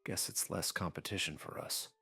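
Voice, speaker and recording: A man's voice: sits low, moderate pace, vocal fry, bright, forceful tone, slightly rough, no room sound, soft background music, full character voice. A man voicing a wary, cynical teenage boy in an anime audiobook, muttering and sardonic. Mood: dry disappointment.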